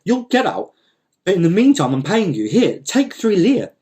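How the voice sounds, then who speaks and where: A man's voice: high voice, wildly swooping pitch, normal speed, mellow, voice pushed up. A man voicing a panicky, blustering landowner in a dramatic story, exasperated and repeating his commands. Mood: insistent, blustering.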